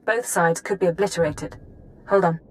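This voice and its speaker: A woman playing a brisk, composed intelligence analyst in a video game, speaking precisely and formally. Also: fairly low pitch, lightly acted, rough recording. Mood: composed, serious.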